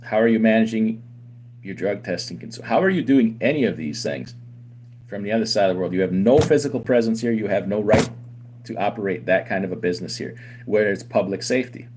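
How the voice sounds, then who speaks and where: A very low-voiced man makes his case forcefully, firing off rhetorical questions in an argumentative commentary. He runs a trucking company and talks straight to his viewers. A faint hum sits behind him. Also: animated, brisk. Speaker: owner of a trucking company and channel host. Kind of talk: opinionated commentary to camera.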